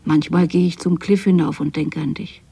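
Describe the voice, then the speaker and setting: A woman's voice: low-pitched, steady tone, normal speed, vocal fry, mellow, slightly rough, full character voice. An actress playing a lonely, possessive widowed mother in a radio drama, speaking a letter to her absent son in a tender, plaintive way. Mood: tender, wistful. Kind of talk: intimate radio drama monologue, spoken as a letter.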